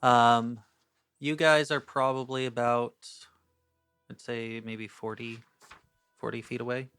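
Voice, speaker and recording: A man runs a tabletop role-playing game, talking through moves and rules casually. His voice has a creaky edge and he often hesitates as he works things out. The recording is dry, with faint music underneath. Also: medium pitch, flat delivery, slow, fairly bright tone, no echo. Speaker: game master.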